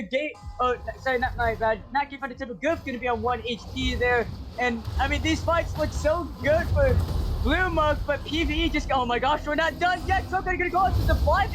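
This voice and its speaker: High-voiced, excitable esports caster man. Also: background noise. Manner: excitable, rapid-fire calls. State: voice going hoarse. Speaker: play-by-play caster. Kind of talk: live esports play-by-play commentary.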